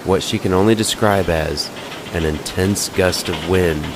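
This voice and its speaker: A man reading scripted ghost stories as a narrator, in a measured, deliberate way with pauses. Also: low-pitched, noisy background.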